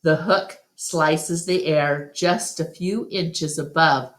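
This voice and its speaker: Female storyteller for a children's read-aloud; low register, unhurried pace, deliberate and expressive delivery. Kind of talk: scripted storybook narration.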